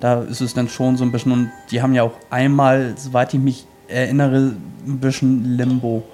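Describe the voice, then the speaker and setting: A man's voice: mid-range voice, level tone, moderate pace, a little mellow, soft background music. A man, the show's editor sitting in as guest, talking keenly about games with hesitant fillers. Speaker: guest co-host, studio editor. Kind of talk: casual chat about video games.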